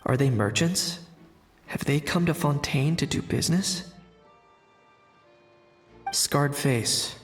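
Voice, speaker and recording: A gravelly, inquisitive sleuth. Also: male voice, fairly high pitch. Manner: thoughtful, inquisitive inner monologue. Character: shrewd young man investigating smugglers. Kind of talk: game narration and monologue.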